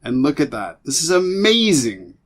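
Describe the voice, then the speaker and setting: A man hosting a podcast interview, effusive and excitable, who stammers and rambles as he asks his questions. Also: a little high, moderate pace, breathy edge. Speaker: podcast host. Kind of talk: casual interview chat.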